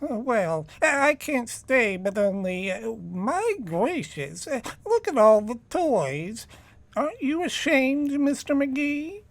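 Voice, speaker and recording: A man's voice: very high voice, sing-song, takes it slowly, dry recording, heavily acted. A man playing a meek, prissy, mild-mannered neighbor in an old-time radio comedy, hesitant and fussy. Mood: fussy, scolding.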